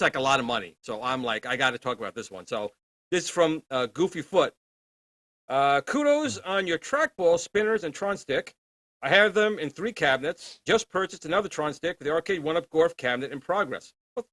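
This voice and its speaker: A man with a bright, forceful voice pitched a little high trades jokes as a show co-host. He is exclamatory and wisecracking, recorded dry. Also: dry recording. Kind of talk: casual, joking banter.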